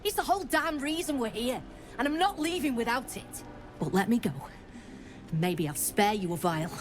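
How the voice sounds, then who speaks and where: A woman as a blunt, defiant gnome rebel, higher-pitched. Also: lively intonation, normal speed, background noise, close to an everyday voice. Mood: urgent bargaining. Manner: blunt, combative, cynical. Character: defiant, foul-mouthed gnome woman freedom fighter. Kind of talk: video game voice acting.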